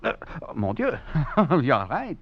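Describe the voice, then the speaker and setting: An actor playing an escaped French convict, a philosophizing rogue, narrating and talking with theatrical, florid phrasing and wry chuckles in 1940s radio drama style. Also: male voice, medium pitch, huge pitch swings, slow pace, no echo, heavily acted. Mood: sly, admiring. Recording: muffled old radio recording with music.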